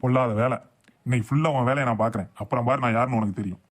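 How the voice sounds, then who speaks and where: Man playing grumbling boastful husband, very quick delivery, mid pitch, comic cartoon dialogue. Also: lightly acted. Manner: blustering, complaining.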